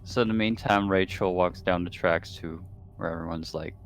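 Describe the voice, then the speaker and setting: A man's voice: low voice, fairly even intonation, normal speed. A man playing a tabletop roleplaying game, casually narrating what a character does. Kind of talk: casual third-person narration of own character.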